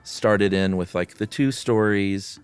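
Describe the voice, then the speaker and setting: A man's voice: lowish voice, takes it slowly, clean tone, no room sound. A man hosting a podcast, moving between casual chat and dramatic reading of a spooky story. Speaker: podcast host.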